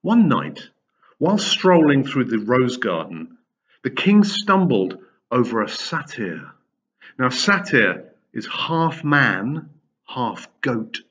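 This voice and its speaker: A man in his fifties reading a children's story expressively, with dramatic emphasis and pauses, into a webcam. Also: mid-range voice, very animated, fairly bright tone, husky, muffled recording. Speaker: storyteller reading a Greek myth for pupils. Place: large indoor room with high wooden ceiling.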